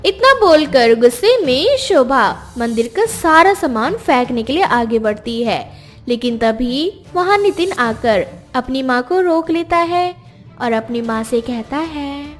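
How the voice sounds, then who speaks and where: A woman narrates a scripted moral story in a steady, storytelling manner. Her voice is high and lively in pitch. Faint music plays in the background. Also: a little slow. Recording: music bed behind voice.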